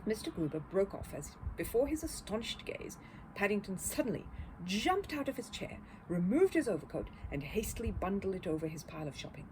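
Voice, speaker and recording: A woman reading a children's story aloud as an expressive narrator. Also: mid-range voice, a little fast. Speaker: storybook reader. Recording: clear background noise.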